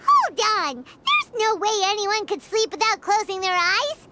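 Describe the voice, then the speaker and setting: Squeaky, very high little fairy. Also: female voice, wildly swooping pitch, measured pace, heavy background noise, full character voice. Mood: surprised, curious. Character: tiny excitable childlike floating fairy companion, indignant and naive. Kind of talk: scripted video game dialogue.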